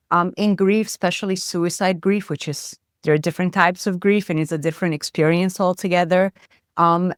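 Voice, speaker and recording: A woman's voice: lowish voice, smooth, no room sound, studio quality. A woman with a Brazilian accent, a psychotherapist explaining her ideas thoughtfully in an interview, with many fillers. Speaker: psychotherapist guest. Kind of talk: interview conversation.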